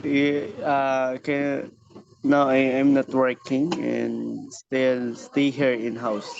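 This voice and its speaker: A man in his twenties from the Philippines, a native Hiligaynon speaker, answering in halting English from his home beside a busy road. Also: mid-range voice, little pitch movement, gravelly. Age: 20s. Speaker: prospective student. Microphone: video call. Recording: muffled call with traffic noise.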